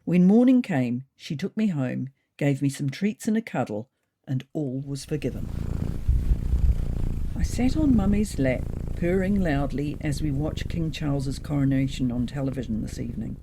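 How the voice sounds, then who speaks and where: A woman narrating a scripted first-person story as an expressive, measured storyteller. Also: a bass voice, a little hiss or hum.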